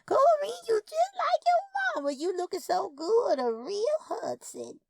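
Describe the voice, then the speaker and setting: Very high-pitched, effusive little old lady. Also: very animated, unhurried, creaky, no echo, studio quality, heavily acted. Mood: delighted, affectionate. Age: 80s. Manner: folksy, effusive. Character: tiny sweet elderly spinster twin, warmly fussing.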